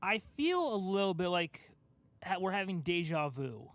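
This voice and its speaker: Male podcast host, young adult, higher voice, emphatic explainer in casual discussion, close dry sound. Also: big pitch swings, normal speed, a bit bright, dry recording. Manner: explanatory, emphatic, talks through an argument step by step. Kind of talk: casual pop culture discussion.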